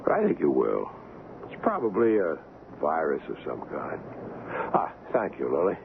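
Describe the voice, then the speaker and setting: An actor playing a calm, bookish, considerate ship's doctor who also narrates a radio drama in a measured, courteous way. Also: male voice, very deep voice, vocal fry, full character voice. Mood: calm, reassuring. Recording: noisy, tinny old radio broadcast.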